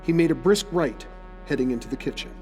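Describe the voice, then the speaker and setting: Guy narrating a radio drama story, slightly high voice, music under it. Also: fairly quick, a little mellow, low-quality recording. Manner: descriptive storytelling. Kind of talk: scripted audio drama narration.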